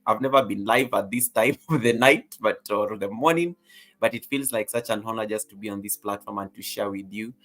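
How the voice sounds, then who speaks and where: A middle-aged man with a Kenyan accent speaks in a fairly low voice. He talks casually as a guest on a livestream panel. His delivery is chatty, with playful asides. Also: pushed and bright. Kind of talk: casual self-introduction on a livestream.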